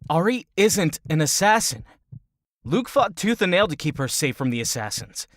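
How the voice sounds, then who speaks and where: A woman's voice: low-pitched, sing-song, normal speed, no room sound, clean studio sound. A woman playing a blunt, skeptical adventurer in a fantasy audio drama, with a wry way of speaking. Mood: worried, disbelieving.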